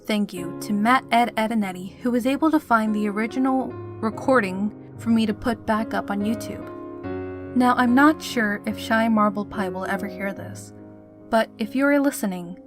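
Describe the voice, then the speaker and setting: A woman with a fairly high voice addresses her listeners as a channel host. She is chatty and sincere, in an informal sign-off style, with plucked guitar music clearly audible behind her. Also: moderate pace. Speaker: channel host and fan fiction reader. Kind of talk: informal scripted outro to listeners.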